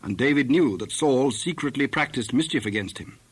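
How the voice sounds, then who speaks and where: A man's voice: medium pitch, lively intonation, fairly quick, husky. A man narrating a dramatized reading of scripture from a script.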